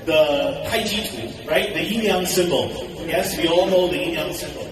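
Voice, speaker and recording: A man's voice: on the high side, moderate pace, crowd noise. A man giving an enthusiastic, conversational conference speech, often posing questions to the audience. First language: English. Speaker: conference speaker. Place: large hall. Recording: echoey hall recorded from a distance, audible noise.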